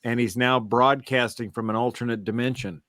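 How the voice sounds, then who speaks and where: A man's voice: mid-range voice, fairly even intonation, normal speed, a crowd in the background. A man hosting a casual podcast chat in a rambling, hesitant way. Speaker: podcast host.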